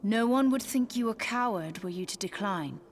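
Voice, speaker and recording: A woman's voice: a little high, expressive, normal speed, a little hiss or hum, close to an everyday voice. An actress playing a cool, learned, dry-witted female adviser in a fantasy game, with formal, archaic phrasing. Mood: dry, serious. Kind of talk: scripted fantasy game dialogue. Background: game music.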